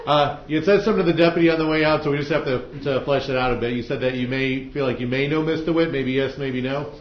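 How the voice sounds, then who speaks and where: A man's voice: mid-range voice, fast. An American man speaking as a judge, running jury selection in a casual, plain-spoken way with informal questions and instructions. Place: courtroom. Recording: echoey courtroom, muffled, faint noise.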